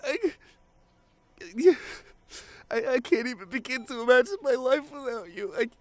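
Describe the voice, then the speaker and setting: A man playing a grieving, devoted boyfriend in an emotional ASMR audio roleplay, pleading haltingly through tears with his voice breaking. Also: extremely high pitch. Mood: desperate, crying. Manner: halting, repeats phrases, voice breaking into sobs. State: crying. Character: grieving devoted boyfriend pleading at comatose partner's hospital bedside.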